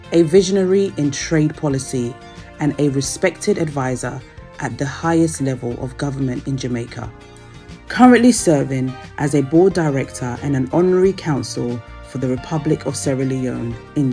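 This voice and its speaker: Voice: medium pitch, dark tone. A narrator delivering formal, solemn scripted narration for a travel documentary.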